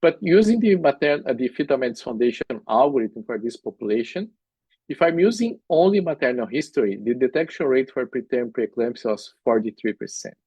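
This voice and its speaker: A man's voice: mid-range voice, expressive, fairly quick, a little mellow, slightly rough, no room sound, lo-fi sound. A middle-aged man from Brazil with a Brazilian Portuguese accent, giving an earnest medical presentation in non-native phrasing with frequent "uh" fillers. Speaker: obstetric specialist presenting at a workshop. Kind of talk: conference presentation with slides.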